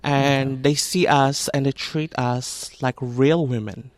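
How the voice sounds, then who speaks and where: A woman's voice: extremely low pitch, steady tone, slow. A woman in her thirties from the Philippines, a hair and makeup artist, telling her story in a casual interview that switches between English and Tagalog with self-mocking laughs. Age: 30s. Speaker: guest, hairdresser and makeup artist.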